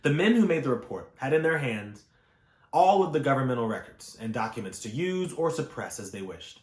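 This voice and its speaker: In a clearly reverberant room, a man reads a history book aloud. His voice sits at a middling pitch, and his delivery is formal and measured, leaning firmly on key words. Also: big pitch swings, a little mellow. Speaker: audiobook reader. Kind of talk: read-aloud of scholarly history text. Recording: echoey room.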